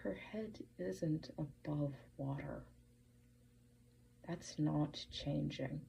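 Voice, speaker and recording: A woman giving prepared commentary as a podcast listener, measured and deliberate, posing pointed questions. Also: low voice, vocal fry, dark tone, slight background noise, rough recording. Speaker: listener sending in a voice message.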